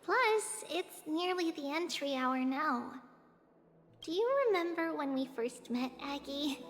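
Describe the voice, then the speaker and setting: A woman playing an ageless childlike teacher in an anime-style game, gentle and caring, with a small voice. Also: high voice, lively intonation, unhurried. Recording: long hall reverb.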